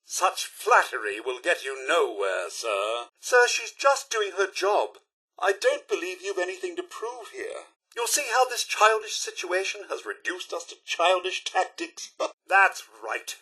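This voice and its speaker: An actor playing a prim, loyal robot butler in a video game, with a British accent, a fussy formal manner and a raspy voice. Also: male voice, sits high, sing-song, a little slow, sharp tone, exaggerated voice, poor audio. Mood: politely disapproving. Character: prim, loyal male robot butler, polite and easily scandalized. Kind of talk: video game character voice lines.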